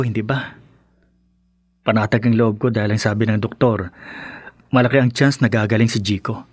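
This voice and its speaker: A man playing an anxious, placating husband who speaks haltingly in a radio drama. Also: mid-range voice, slightly rough, no echo, studio quality. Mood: tender, coaxing. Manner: hesitant, halting. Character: anxious, placating husband torn between wife and mother. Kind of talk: Tagalog radio drama acting.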